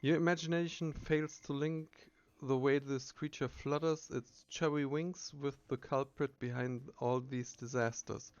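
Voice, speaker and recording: A man narrating a video game playthrough, reading the text aloud with casual asides and halting self-corrections. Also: medium pitch, fairly even intonation, no room sound, lo-fi sound.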